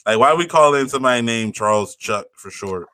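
A man's voice: mid-range voice, expressive, a little fast, bright, forceful tone, clean tone, dry recording, studio quality. A young man co-hosting a podcast, chatting in an easygoing, slangy, rambling way. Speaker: podcast co-host. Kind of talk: casual chat.

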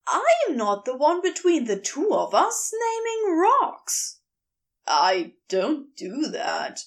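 A woman playing a fussy, excitable, prim male schoolteacher with a wordy, fretful manner in an audio story. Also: sits high, slow pace, pitched above the performer's own voice, exaggerated voice. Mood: indignant, flustered.

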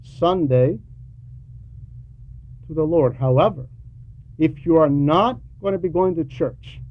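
A man preaching a sermon with emphatic, deliberate phrasing and frequent pauses. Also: on the high side. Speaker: preacher reading a translated homily. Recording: dull lo-fi recording with background noise.

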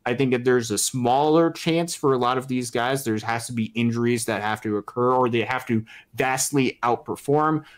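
A man with a medium-pitched voice talks through sports as a podcast co-host. His delivery is earnest and explanatory. He lays out his points in a measured, conversational way. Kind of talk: casual sports commentary.

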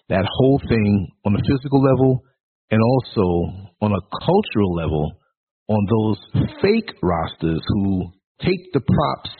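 A man's voice: lowish voice, slightly rough. A man hosting a podcast interview, inviting his guest to speak at length. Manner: inviting, lets the guest talk. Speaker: podcast host. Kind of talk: interview questions. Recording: tinny phone line.

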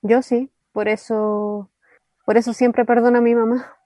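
Radio drama role: actress for earnest young woman carrying family grief, Chilean accent, slightly high yet soft mellow voice. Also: flat delivery, measured pace, dry recording, lightly acted. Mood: grieving.

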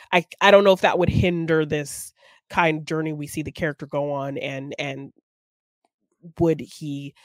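A woman's voice: sits low, no room sound, studio quality. A woman co-hosting a podcast, discussing film casually and reflectively, often thinking aloud as she goes. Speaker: podcast co-host.

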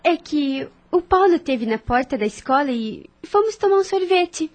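A woman's voice: high voice, expressive, moderate pace. An actress playing a shy, proper, cheerful young woman in a radio soap opera. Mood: coy, polite.

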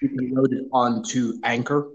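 A man's voice: medium pitch, fairly even intonation, moderate pace, muffled recording, slight background noise. A man co-hosting a podcast, talking bluntly and teasing with lots of swearing. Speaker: podcast co-host. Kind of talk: casual banter.

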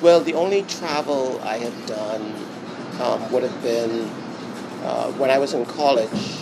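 A Bahamian man giving an interview, speaking reflectively with many pauses and restarts. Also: mid-range voice, gravelly. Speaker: interview guest. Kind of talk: casual interview talk. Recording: steady background noise.

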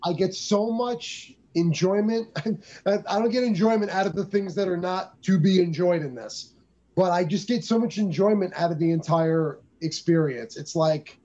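A man's voice: sits high, moderate pace, a little mellow. A man co-hosting a casual film review podcast, joking and enthusiastic. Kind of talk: casual chat. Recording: muffled lo-fi audio.

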